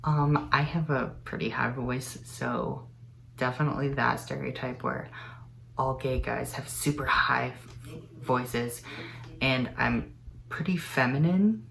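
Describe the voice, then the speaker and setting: Teen guy, gravelly voice, candid matter-of-fact talk. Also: medium pitch, slow, fairly bright tone, a little hiss or hum, low-quality recording. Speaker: interviewee. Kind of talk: casual talk.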